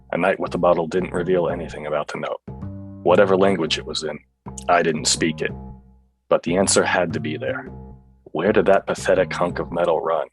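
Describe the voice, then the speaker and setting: An actor playing a bitter, boozy, clueless android-hunting detective in a noir radio play, with a hard-boiled, melodramatic deadpan. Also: male voice, low-pitched. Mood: brooding.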